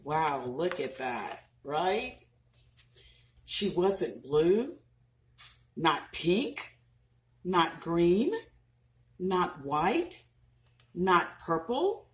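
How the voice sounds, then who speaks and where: A woman in her thirties reading a children's picture book aloud, pausing to ask the listeners questions. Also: on the low side, a little hiss or hum. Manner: expressive, asks listeners questions.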